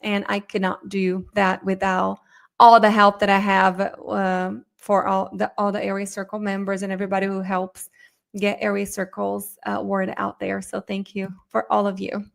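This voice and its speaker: A middle-aged woman from Brazil, a native Portuguese speaker, hosting an informal live online lesson in a chatty, animated way that addresses viewers directly. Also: medium pitch, normal speed, vocal fry. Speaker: course instructor and webinar host.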